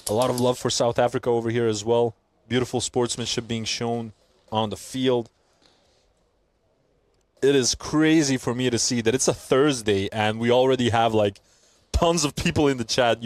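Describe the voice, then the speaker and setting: A man's voice: medium pitch, normal speed, long pauses, dry recording. Chatty Belgian-born man commentating a stream. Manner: chatty, reads out chat messages, laughs often, excited during play. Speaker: livestream commentator. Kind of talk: casual stream chat and play-by-play commentary.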